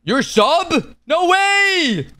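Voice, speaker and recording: A man's voice: extremely high pitch, very slow. Playful young male game streamer. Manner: playful teasing, bursts into excited callouts. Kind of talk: live gameplay commentary.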